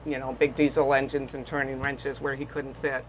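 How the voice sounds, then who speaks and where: A woman's voice: very deep voice, level tone, normal speed, slight background noise, poor audio. An older woman from the Bahamas, chatting casually in an interview aboard a small boat, telling stories with many fillers. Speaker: guest boater.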